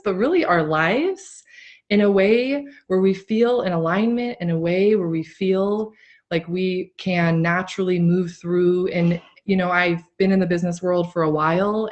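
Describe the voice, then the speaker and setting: A woman with a slightly low voice hosts a casual online interview. She talks in an earnest, conversational way, letting her sentences wander as she goes. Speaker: host of a live interview show. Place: remote online live video call.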